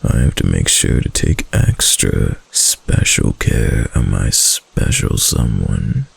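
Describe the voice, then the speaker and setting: A man voicing a doting, obsessive kitsune husband in an intimate ASMR roleplay, breathy and gravelly, with hesitant, coaxing endearments. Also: very deep voice, huge pitch swings, slow, heavily acted. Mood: tender, obsessive. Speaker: voice actor in a roleplay.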